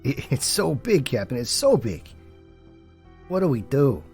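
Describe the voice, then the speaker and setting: An excitable street-talking detective in a noir radio play. His mid-range voice swings widely in pitch, earnest and slangy, with music faintly behind it. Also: unhurried. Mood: alarmed, urgent. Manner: animated, earnest, colourful slangy phrasing. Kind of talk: noir audio drama dialogue.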